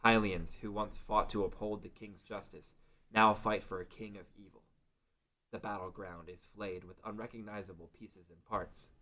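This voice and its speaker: A man's voice: on the low side, steady tone, normal speed, bright, forceful tone, poor audio. A man narrating a scripted fantasy story as the narrator of a table read.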